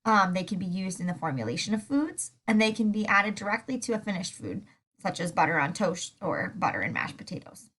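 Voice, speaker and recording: A woman's voice: medium pitch, fairly quick, slightly rough. Stuffy-nosed woman teaching a lecture. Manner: relaxed, with many fillers. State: stuffed up with a sore throat. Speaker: food science teacher. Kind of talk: recorded lecture over slides.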